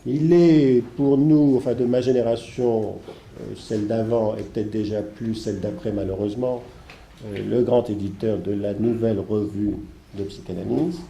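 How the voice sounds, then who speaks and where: A man hosts a seminar, introducing speakers in a halting, wandering way. His voice is lowish and very dark, stuffed up with a cold. The room echoes around him. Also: fairly even intonation, vocal fry. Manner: hesitant, halting, digressive. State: congested from a heavy cold. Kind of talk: spoken introduction of a seminar, semi-improvised. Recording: echoey room with faint background noise.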